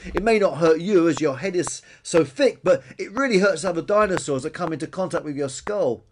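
Man as an earnest, high-pitched scolding brontosaurus. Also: expressive, brisk, a little hiss or hum, lightly acted. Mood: earnest reproach. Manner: earnest, admonishing. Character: preachy, well-meaning long-necked brontosaurus, earnestly scolding. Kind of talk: children's storytelling character voice.

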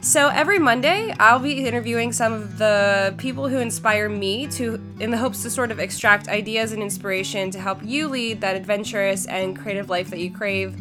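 A woman's voice: mid-range voice, normal speed, hardly any pauses, over music. A young woman hosting a podcast, upbeat and chatty with many "um" fillers, her voice congested from a flu. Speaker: podcast host. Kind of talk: podcast intro and interview.

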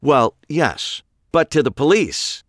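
Guy reading as a gloating gunman villain in an audiobook, raised lively voice, pushing louder. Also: a little high, slow pace, no room sound, studio quality, pitched above the performer's own voice. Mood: taunting. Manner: taunting, lecturing, enjoys explaining. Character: menacing, smug male killer holding a gun.

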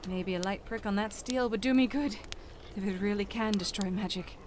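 A woman's voice: medium pitch, moderate pace, darkish tone, slight background noise, lightly acted. An actress playing a fiery young elf woman in a fantasy audio drama, with a pointed, challenging manner. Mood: bitter. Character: fiery, defiant young elf woman burdened by unwanted power.

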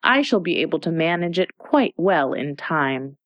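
A woman's voice: low-pitched, very animated, no room sound, lo-fi sound. Woman doing a polite, earnest young girl. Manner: earnest, quick when arguing.

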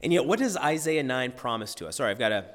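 A man preaching a sermon as pastor, his voice husky and hoarse today, talking in a conversational way with self-deprecating humor. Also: medium pitch, big pitch swings, quick pace. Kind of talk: sermon with scripture reading and prayer. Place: church sanctuary. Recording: long church hall reverb.

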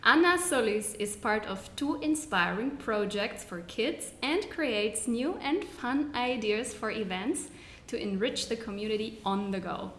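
A woman's voice: on the high side, animated, a little slow, fairly bright tone, roomy sound, slight background noise. A woman hosting a podcast, opening the episode and introducing her guest in a friendly, scripted way. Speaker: podcast host.

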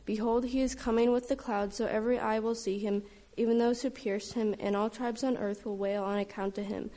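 A woman reads a long passage of scripture in a church service at a steady pace, with a small slip now and then. Her voice is warm and mellow. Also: on the high side, a little fast. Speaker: scripture reader. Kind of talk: reading scripture aloud in church.

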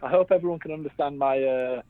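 A man's voice: a little high, fast, dry recording. A man with a Yorkshire accent, a guest chatting casually and easily in a remote interview, a bit self-deprecating. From England. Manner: easygoing, chatty, self-deprecating, many fillers. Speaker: guest, brewery designer. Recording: dry, phone-like line.

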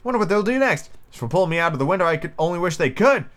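Man voicing a musing, high-pitched young girl. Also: sing-song, racing, fairly bright tone. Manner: musing, chatting to herself. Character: young girl, curious, polite, self-pondering.

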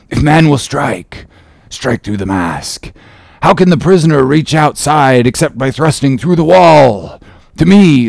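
Here is a man performing a fiery, grandiose old whaling captain from a classic storybook, bent on revenge. He declaims in archaic, impassioned phrases, raised in pitch and swinging widely. The recording is close and dry. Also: vocal fry, a little mellow, no room sound. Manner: declamatory, archaic thee-and-thou speech, impassioned. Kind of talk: dramatic classic novel reading.

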